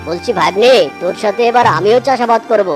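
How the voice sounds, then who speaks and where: A woman's voice: medium pitch, fairly quick, close to an everyday voice. A woman voicing a gullible, blustery but friendly cartoon tiger uncle, speaking emphatically with repeated exclamations. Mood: friendly, admiring. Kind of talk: exaggerated children's cartoon acting. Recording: clear music behind the voice.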